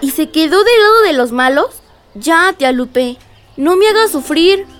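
A woman's voice: extremely high pitch, lively intonation, a little slow, a bit bright, heavily acted. A female performer with a Mexican accent playing a curious, impatient little girl in a radio drama. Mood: impatient, cheeky.

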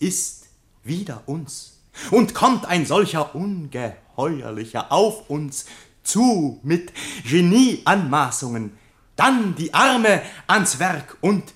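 Slow, pompous orator. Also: male voice, high-pitched, sing-song, fairly bright tone, exaggerated voice. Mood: fervent. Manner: declamatory, pompous. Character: pompous male ringleader rallying a mutual-praise society. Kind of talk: theatrical radio play oratory.

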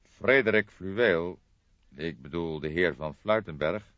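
A man voicing a dry, deliberate older police detective in an audiobook, with a measured, formal, probing way of talking. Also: sits low. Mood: thoughtful. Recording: dull, roomy audiobook recording.

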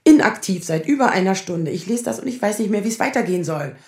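A woman playing a sharp-tongued, anxious lawyer in her thirties in a naturalistic radio drama, sarcastic and defensive. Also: mid-range voice, lively intonation, fast, roomy sound, close to an everyday voice. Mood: anxious, combative. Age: 30s.

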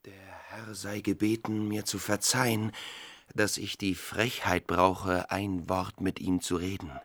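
A man's voice: low-pitched, little pitch movement, measured pace, fairly bright tone, no room sound, full character voice. A man acting a proud, hot-tempered army lieutenant in a radio drama, speaking in formal, archaic, pressing phrases. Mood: insistent, courteous.

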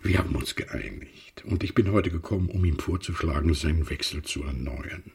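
An actor playing a sly, unctuous merchant and moneylender who feigns sympathy and insinuates in a radio drama. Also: male voice, very deep voice, normal speed, creaky, darkish tone, slightly rough, heavily acted. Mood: smooth, insinuating. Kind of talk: German radio drama acting.

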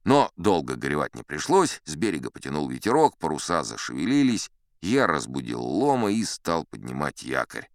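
An old sea captain spinning tall tales for an audiobook, in a very low voice. He is boastful and unflappable, smooth and resonant, savouring the story with wide swings of pitch. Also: very animated, moderate pace, no room sound, clean studio sound, heavily acted. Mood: wistful, savouring.

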